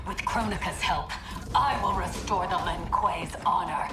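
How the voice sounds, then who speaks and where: A woman's voice: fairly low pitch, measured pace. An actress playing a bitter, ambitious young female ninja who betrayed her clan, in a video game cutscene, talking resentfully and defiantly. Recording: roomy echo, loud game music.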